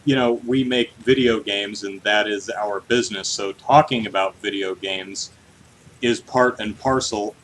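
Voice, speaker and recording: A man co-hosting a casual livestreamed podcast about video game news, talking in an animated, jokey way. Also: lowish voice, unhurried, slight background noise, rough recording. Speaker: podcast co-host. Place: hot room at home, on a livestream.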